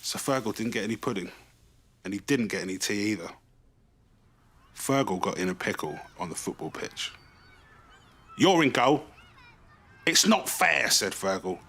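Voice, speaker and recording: A man reading a children's bedtime story in an expressive, animated way, stretching key words for emphasis. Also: deep voice, normal speed, pauses often.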